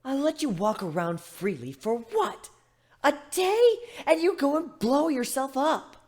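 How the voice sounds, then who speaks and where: A woman's voice: high-pitched, sing-song, unhurried, darkish tone. A woman playing a stern, short-tempered fantasy ship's medic who scolds and threatens, in a dramatic reading. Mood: scolding. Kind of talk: dramatic reading of fan fiction.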